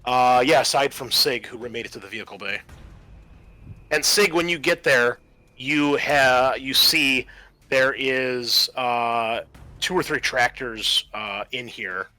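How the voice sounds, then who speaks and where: A man running a tabletop roleplaying game as game master, explaining rules and rulings in a halting, improvised way. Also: medium pitch, sharp tone.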